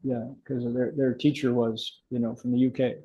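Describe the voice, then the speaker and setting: A man's voice: mid-range voice, level tone, normal speed, mellow, lo-fi sound. An older man leading a meditation class in casual, chatty discussion, often restarting his sentences and affirming students.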